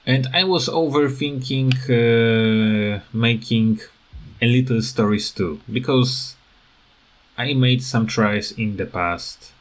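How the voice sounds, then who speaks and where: A man who speaks English as a second language, with a foreign accent, giving rambling, halting, unscripted commentary as a gaming video creator. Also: mid-range voice. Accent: non-native English. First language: not English. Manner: hesitant, halting, repeats words.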